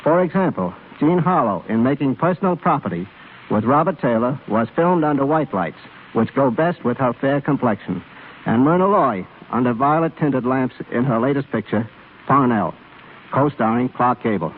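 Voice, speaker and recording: A man's voice: mid-range voice, lively intonation, moderate pace. A man speaking as a guest expert in a scripted 1930s radio interview, explaining things formally and with careful phrasing. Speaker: film cameraman guest. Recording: scratchy, tinny 1930s radio broadcast.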